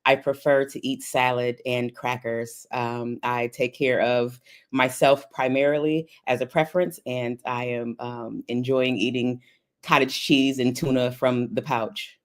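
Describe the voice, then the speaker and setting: A woman in her forties from Buffalo, New York, introducing herself as a panel guest in a measured, matter-of-fact way. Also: rumbling low, flat delivery. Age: 40s. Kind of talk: casual panel chat.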